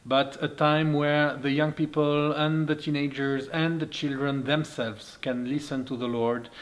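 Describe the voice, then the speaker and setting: An earnest man from Switzerland in his forties, speaking as his in a steady, mid-pitched voice. He speaks as a youth ministry leader, sharing a testimony and encouragement. His phrasing is careful, with occasional turns. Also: rough recording.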